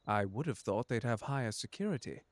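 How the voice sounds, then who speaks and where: A man's voice: fairly low pitch, animated, brisk, no room sound, close to an everyday voice. A man playing a suave, unflappable butler in an ASMR audio drama, speaking formally with dry courtesy and a protective streak. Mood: polite, composed. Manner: formal, polite, dryly sardonic. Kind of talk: ASMR audio drama roleplay addressed to the listener.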